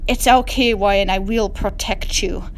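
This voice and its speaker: A woman's voice: mid-range voice, steady tone, normal speed, lightly acted. A woman voicing a gallant, protective male ogre with a mock Scottish accent in a parody story reading. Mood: protective, tender. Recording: clear background noise.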